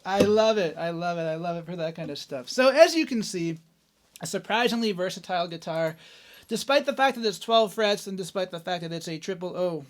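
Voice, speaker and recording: A man's voice: high voice, brisk. A man hosting a casual guitar review, chatty and enthusiastic, with loose fillers and exclamations. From Canada. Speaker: host, guitarist reviewing gear. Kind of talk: casual gear review talk. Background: acoustic guitar playing.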